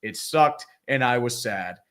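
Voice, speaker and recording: A man's voice: mid-range voice, expressive, unhurried, fairly bright tone. A man co-hosting a casual sports chat, sardonic, reading viewer comments aloud and riffing on them. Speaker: podcast co-host.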